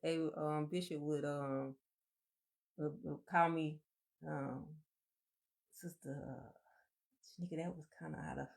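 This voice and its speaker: Soft-spoken woman with gravelly fry. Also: deep voice, steady tone, a little slow, long pauses. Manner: meandering, reflective storytelling with many fillers. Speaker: co-host of a live chat. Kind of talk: casual live-stream chat.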